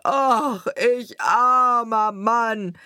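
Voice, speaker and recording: A woman voicing a gruff, self-pitying male wagon driver in a fairy tale, drawing out his words emphatically. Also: mid-range voice, no echo, clean studio sound, natural voice. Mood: wailing. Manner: drawn-out, emphatic lamenting and scoffing.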